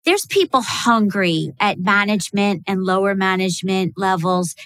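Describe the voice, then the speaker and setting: American woman host, unhurried and measured, dry close mic. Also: medium pitch, fairly bright tone, no echo. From United States. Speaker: podcast host. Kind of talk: interview.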